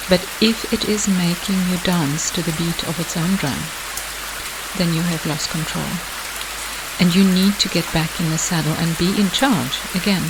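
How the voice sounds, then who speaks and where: A meditation guide delivering scripted hypnotic narration in a measured, deliberate way with pauses. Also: mid-range voice. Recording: hissy, clearly noisy background.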